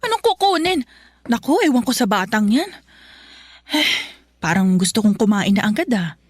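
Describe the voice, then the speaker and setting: A woman's voice: mid-range voice, sing-song, normal speed, no room sound. An actress playing a fussy, eager middle-aged mother of the groom in a radio drama. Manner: fussy, nagging.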